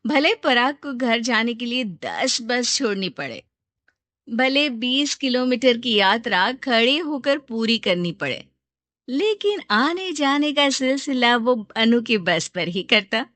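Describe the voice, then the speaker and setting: A woman's voice: fairly high pitch, lively intonation, slow pace, fairly bright tone, no room sound. A woman narrating a scripted moral story as storyteller.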